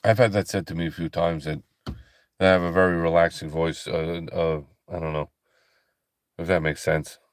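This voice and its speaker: A tired man hosting a late-night ministry livestream, talking slowly and softly with a calm, relaxing voice, with many pauses and restarts. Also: sits low, dry recording. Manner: slow, halting, soft-spoken, many fillers and restarts. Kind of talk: casual late-night livestream chat with viewers.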